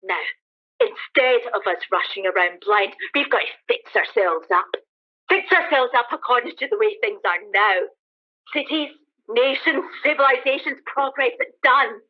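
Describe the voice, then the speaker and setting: A woman's voice: fairly high pitch, lively intonation, normal speed. Woman playing a defiant soldier survivor, thin phone-like sound. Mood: fierce, defiant. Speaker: amateur actor in a radio play. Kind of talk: dramatised radio play dialogue.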